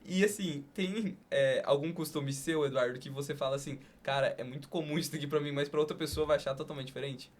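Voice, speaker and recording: A man's voice: fairly high pitch, quick pace, few pauses. A man with a Brazilian accent hosting a podcast, chatting casually with slang and asking questions. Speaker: podcast host. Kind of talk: casual interview chat with slang.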